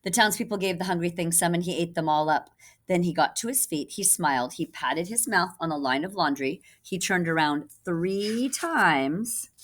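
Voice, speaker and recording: A woman teacher reading a children's story aloud expressively, pausing to put questions to the listener. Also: fairly low pitch, lively intonation.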